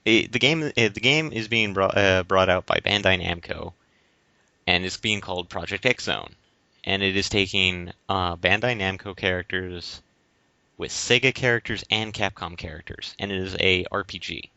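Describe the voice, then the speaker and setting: Very deep-voiced man with a bright edge. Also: moderate pace, no room sound. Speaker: podcast co-host. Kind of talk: casual discussion of video games. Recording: dull lo-fi close voice recording.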